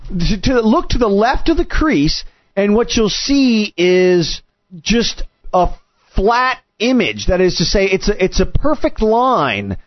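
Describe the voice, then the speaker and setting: Male host, high and animated voice, probing and quick to cut in, dry but slightly lo-fi sound. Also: no echo. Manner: probing, interrupting. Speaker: host questioning a guest. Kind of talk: conversational interview debate.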